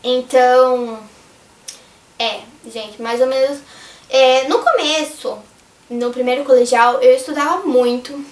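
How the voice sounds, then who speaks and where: A hoarse young woman with a Brazilian Portuguese accent, chatting casually to her viewers as a vlogger with rambling advice. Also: high voice, steady tone, slow. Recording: echoey room, distant mic.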